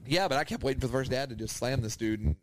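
A man's voice: mid-range voice, very fast, slight background noise. A man, co-hosting a podcast, telling a rambling story, laughing often and acting out the people he quotes. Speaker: podcast co-host. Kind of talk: casual storytelling chat.

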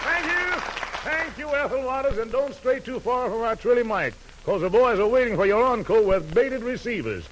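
A man's voice: very high-pitched, animated, normal speed, a crowd in the background. A man hosting a 1940s radio variety show with florid, alliterative showman patter. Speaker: radio show host and announcer. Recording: noisy old 1940s radio recording.

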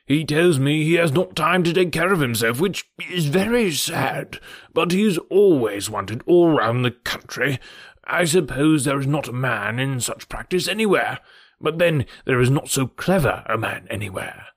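A man voicing a fussy, anxious elderly gentleman who dotes on his daughters and worries over health, in an audiobook. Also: fairly high pitch, expressive, moderate pace, no room sound, clean studio sound. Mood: fretful doting. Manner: doting, worried, gently insistent. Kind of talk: audiobook character reading.

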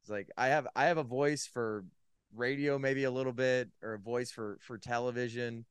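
A man's voice: medium pitch, fairly even intonation, normal speed, smooth, no echo. A man from California, a podcast guest, telling his faith story in a casual, rambling way with many "likes" and restarts. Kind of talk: casual personal testimony.

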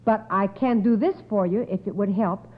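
A woman hosting an old-time radio quiz in a formal, measured, encouraging way with precise wording. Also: mid-range voice. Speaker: quiz show host. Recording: tinny, narrow old radio recording.

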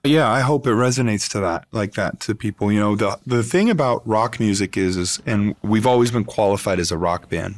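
A man who sings and writes songs for a band, with a gritty baritone voice, talking in an interview in a passionate, thoughtful way. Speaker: band singer and songwriter. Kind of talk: interview answer.

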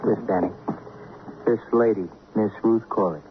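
An actor playing a plain-spoken police detective sidekick in a 1950s radio crime drama, reporting in clipped phrases. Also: male voice, on the low side, normal speed, close to an everyday voice. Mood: matter-of-fact. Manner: clipped, matter-of-fact reporting. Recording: tinny, noisy old radio recording.

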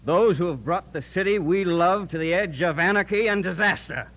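An actor playing a stern, righteous vigilante commander who narrates and orates solemnly in an old radio drama. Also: male voice, high voice, moderate pace, lo-fi sound, over music, close to an everyday voice. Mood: rousing. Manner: declamatory, solemn. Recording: noisy old radio recording.

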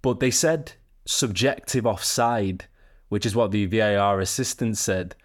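A man's voice: lowish voice, a little slow. A man hosting a football podcast, presenting and discussing the sport with a co-host.

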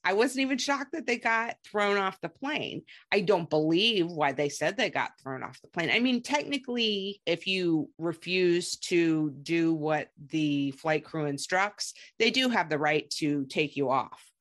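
Woman, low voice, podcast host, casual reality-show recap, chatty, gossipy. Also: animated, a little slow, few pauses, fairly bright tone.

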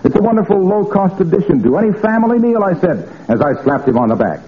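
Animated, gushing radio salesman. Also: high-pitched, quick pace. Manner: effusive, salesmanlike recitation. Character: eager, chirpy male sales talker praising his wares. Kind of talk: old-time radio comedy acting. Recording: tinny, noisy old radio recording.

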